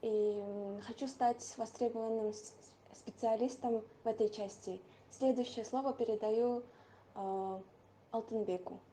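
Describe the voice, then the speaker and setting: A woman's voice: a little high, fairly even intonation, measured pace, warm tone, slight background noise. An eighteen-year-old woman introducing herself to an online class, stumbling and correcting herself over a video call. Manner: hesitant, self-correcting.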